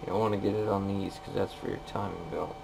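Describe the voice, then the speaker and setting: A man's voice: sits low, steady tone, fast talker, gravelly. A man giving terse, casual, off-the-cuff commentary as a machinist demonstrating a repair, muttering plainly while he works. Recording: noisy workshop recording.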